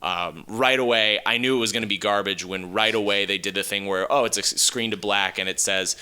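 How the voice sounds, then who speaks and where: A man's voice: fairly low pitch, steady tone, brisk, sharp tone, smooth. A man hosting a casual podcast discussion of films and games, talking in an emphatic, opinionated way. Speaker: podcast host. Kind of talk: casual film and video game discussion.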